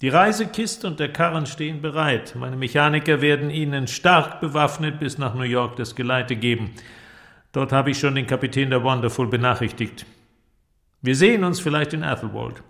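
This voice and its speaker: An actor playing a pensive, sombre middle-aged inventor in a literary audio drama, speaking in a measured, deliberate way with a creaky voice. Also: male voice, medium pitch, a bit bright, close to an everyday voice. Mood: solemn farewell.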